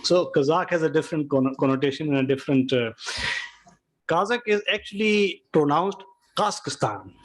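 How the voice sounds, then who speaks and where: A man, head of a think tank, giving formal concluding remarks in an earnest, halting way. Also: a little high. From Pakistan. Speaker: think tank acting president.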